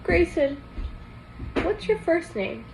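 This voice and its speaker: A woman's voice: very high-pitched, takes it slowly, creaky, pitched above the performer's own voice, heavily acted. A woman reads the lines of a curious, teasing kid in a storybook. He is eager and pestering, with background noise in the recording. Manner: excited, pestering questions.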